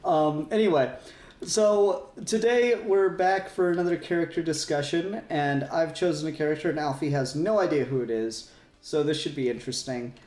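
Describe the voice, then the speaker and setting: A man's voice: a little high, moderate pace, darkish tone, reverberant. A man hosting a casual fan discussion podcast, talking in a rambling way and often correcting himself. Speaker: podcast host.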